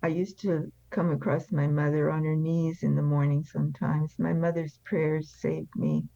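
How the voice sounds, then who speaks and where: An older woman speaks as an interview guest with a crackly, fry-laden voice. She is blunt and emphatic as she shares personal experience. The recording is dry and slightly dull. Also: low-pitched, level tone, normal speed, mellow, no echo. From France. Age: 70s or older. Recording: slightly dull, band-limited sound.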